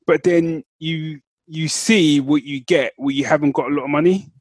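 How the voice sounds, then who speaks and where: A man co-hosting a podcast, chatting casually in an animated, slangy way. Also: fairly high pitch, normal speed, slightly rough, no room sound. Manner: animated, informal, slangy, swears freely. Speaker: podcast co-host. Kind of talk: casual chat.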